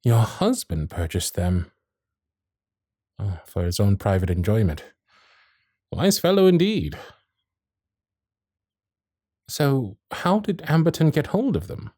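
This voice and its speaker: A man playing a courteous, protective Victorian gentleman suitor in a romantic period ASMR roleplay, with formal, old-fashioned phrasing and dramatic pauses. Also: on the low side, lively intonation, no room sound, clean studio sound. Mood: probing, surprised. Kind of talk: romantic period ASMR roleplay, intimate one-sided dialogue.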